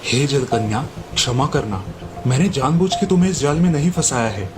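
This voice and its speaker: A man's voice: mid-range voice, lively intonation, moderate pace, music in the background, natural voice. A man voicing a kind, apologetic, worried fisherman in a storybook tale, speaking earnestly. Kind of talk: storybook dialogue.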